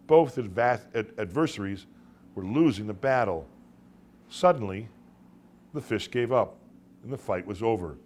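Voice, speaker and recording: A man narrating literary short stories as their author, in a dramatic audiobook style with deliberate phrasing and emphatic pauses. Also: medium pitch, a little hiss or hum.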